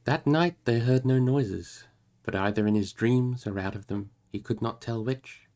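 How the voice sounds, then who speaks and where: A man's voice: medium pitch, a little slow, a little mellow. A man narrating a book aloud on a livestream, moving between storybook reading and casual chat with listeners.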